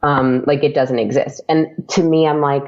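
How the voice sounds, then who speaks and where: A woman's voice: very deep voice, fairly even intonation, normal speed, a little mellow, muffled recording. A woman chatting casually as an interview guest. She talks freely with lots of fillers and laughs often. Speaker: podcast guest.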